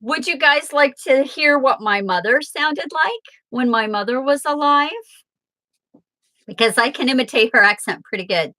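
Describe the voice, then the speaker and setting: A woman's voice: high voice, moderate pace, sharp tone. A woman from Southern Illinois hosting a tarot livestream, chatting casually and answering viewer comments aloud. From Southern Illinois, USA. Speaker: tarot reader and livestream host.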